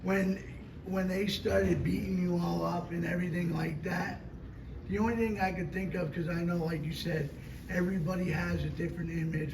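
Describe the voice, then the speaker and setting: Hoarse fan asking a rambling question. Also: male voice, on the high side. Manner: rambling, loose phrasing. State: hoarse from allergies. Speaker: audience questioner. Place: convention hall. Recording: roomy, distant, noisy hall recording.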